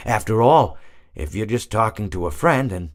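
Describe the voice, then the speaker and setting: Game role: aged hippopotamus animatronic. Meandering, hesitant, mild-mannered storyteller, very animated intonation, recorded close and dry. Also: male voice, fairly low pitch, moderate pace, no room sound. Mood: meandering reminiscence. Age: older. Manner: rambling, hesitant, absent-minded, self-correcting. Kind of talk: character monologue for a video game.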